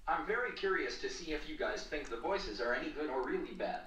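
A man's voice: a little high, lively intonation, brisk, bright, forceful tone. A synthetic man's voice reading a scripted voiceover demo. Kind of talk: scripted text-to-speech demo. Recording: echoey, slightly distant playback.

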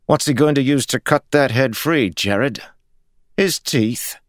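Man voicing a skeptical, insolent guardsman, pitched up. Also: takes it slowly, dry recording, studio quality, lightly acted. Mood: skeptical, mocking. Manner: skeptical, disrespectful. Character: insubordinate, skeptical male soldier of the guard.

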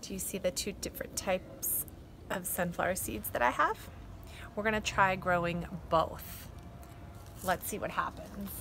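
A middle-aged woman speaking as a preschool teacher, deliberately and expressively addressing young children in a simple read-aloud, instructional style. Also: mid-range voice, creaky, background noise.

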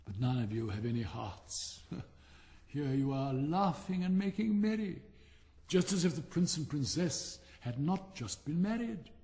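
A supercilious, self-pitying storybook rocket, acted by a man, speaks in refined Received Pronunciation. The delivery is haughty and melodramatic, with pitch that sweeps widely. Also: expressive, measured pace, dark tone. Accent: English Received Pronunciation. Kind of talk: theatrical storybook character reading.

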